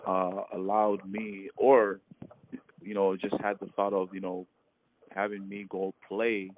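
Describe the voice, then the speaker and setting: A man from Hawaii and American Samoa, recalling his past in a halting, casual phone interview full of fillers. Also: sits low, level tone. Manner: halting, many fillers and restarts, laughs often. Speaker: interviewee, former ballplayer. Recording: tinny phone line with light noise.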